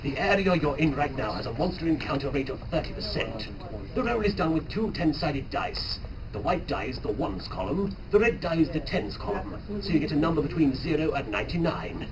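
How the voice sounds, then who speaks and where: A man's voice: on the high side, sing-song, fairly quick, crowd noise. A man narrating a scripted story as a live dramatic reading, picked up from a distance on a noisy recording. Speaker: narrator and reader.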